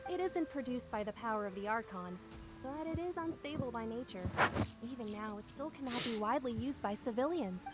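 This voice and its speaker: Woman playing high-voiced earnest young engineer. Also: expressive, fairly quick, lightly acted, low-quality recording. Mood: earnest, explanatory. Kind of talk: video game voice acting. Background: game music.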